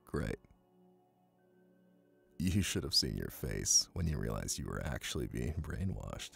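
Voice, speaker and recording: An exceptionally deep, crackling voice from a male actor plays a smug, dominant hypnotist boyfriend in an ASMR roleplay. He coaxes and teases intimately, unhurried and breathy, yet soothing. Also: sing-song, a bit bright, soft background music, full character voice. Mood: fond, playful. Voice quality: gravelly, breathy. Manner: slow, coaxing, teasing.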